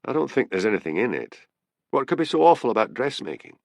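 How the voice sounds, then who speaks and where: A man's voice: medium pitch, sing-song, fast, gravelly, no echo, clean studio sound. A man voicing a breezy, defiant young policewoman with a cheerful, offhand manner, in an audiobook story. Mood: gossipy.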